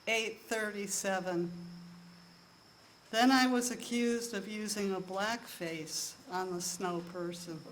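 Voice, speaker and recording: Woman, soft voice, deadpan stand-up monologue, unhurried timestamped beats, mid-range pitch. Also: a little hiss or hum, low-quality recording. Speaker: monologue performer. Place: live show in a room.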